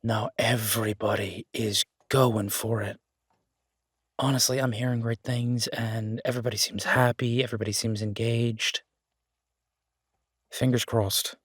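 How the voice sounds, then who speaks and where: A man performs an easygoing chef and restaurant owner in an audio roleplay. His medium-pitched voice is husky, with casual, folksy, reassuring delivery. The sound is dry and intimate. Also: steady tone, a little slow, dry recording. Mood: relaxed, affectionate.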